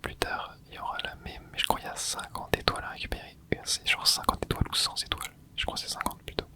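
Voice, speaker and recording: Breathy close-mic whisper, chill gameplay commentary, lots of little oks and fillers. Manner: soft whisper, relaxed running commentary with quick fillers. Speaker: gaming ASMR host. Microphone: close microphone.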